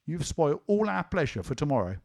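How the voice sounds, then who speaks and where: A man's voice: on the high side, big pitch swings, a little fast, creaky, a little mellow, voice pushed up, exaggerated voice. A man voicing a sleepy, earnest little boy who argues with his sister in a reasoning tone. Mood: earnest, scolding. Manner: earnest, reasoning, a bit stubborn.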